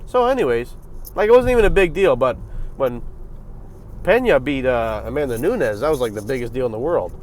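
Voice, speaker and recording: A man hosting a reaction video, giving casual, profane commentary on a fight, jumping from loud bursts to low mutters. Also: animated, brisk, a little hiss or hum.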